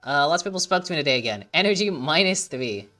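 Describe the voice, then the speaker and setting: Low-voiced, animated young woman gamer. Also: fast talker, soft background music. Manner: animated, thinking aloud, reading game text aloud, swearing casually. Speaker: gaming streamer. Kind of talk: casual gameplay commentary.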